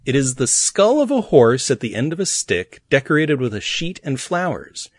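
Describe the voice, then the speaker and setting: Man, podcast host, teasing trivia reader, animated pitch, dry sound. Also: moderate pace, breathy edge, dry recording. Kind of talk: playful podcast chat.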